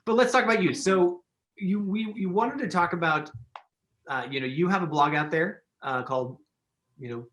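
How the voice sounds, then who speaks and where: A man's voice: high-pitched, moderate pace, a bit bright, roomy sound. Enthusiastic, rambling male podcast host. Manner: enthusiastic, rambling, many fillers and restarts. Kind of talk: casual interview chat.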